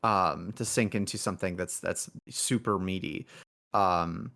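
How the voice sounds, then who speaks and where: A man with a fairly low voice talks casually as a guest developer on a podcast. His delivery is halting and self-deprecating. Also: gravelly, pushed and bright. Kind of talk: casual interview chat.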